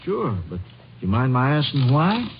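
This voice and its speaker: Courteous, questioning bank official in vintage radio drama; lively pitch, dull, faintly hissy sound. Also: male voice, normal speed. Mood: puzzled, helpful. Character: obliging, inquisitive bank manager. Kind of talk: 1950s radio drama acting. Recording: muffled, hissy old radio recording.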